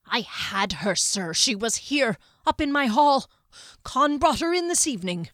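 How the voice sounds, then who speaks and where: A woman's voice: on the high side, expressive, unhurried, fairly bright tone, no room sound, clean studio sound, voice pushed up. A woman voicing a timid male henchman who whines and panics, his voice climbing toward a shriek. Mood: flustered.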